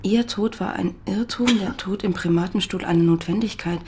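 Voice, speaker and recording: A woman's voice: medium pitch, normal speed, warm tone, slight background noise, lightly acted. An actress playing a direct, inquisitive woman journalist in her thirties, in a naturalistic radio drama. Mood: reading aloud. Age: 30s. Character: brisk, curious female journalist in her mid-thirties.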